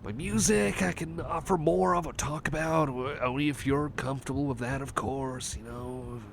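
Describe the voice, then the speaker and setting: A man's voice: medium pitch, normal speed, creaky, a little hiss or hum, lightly acted. A man playing a shy, anxious, apologetic cyborg friend in an ASMR roleplay, speaking in a hesitant, nervous stammer. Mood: grateful, uneasy. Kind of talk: ASMR roleplay, personal attention.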